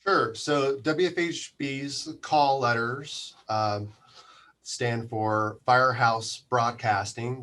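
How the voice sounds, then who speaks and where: A man explaining things informally as an interview guest, halting and repeating words as he searches for phrasing. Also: medium pitch, a bit bright. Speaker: podcast guest, radio station general manager.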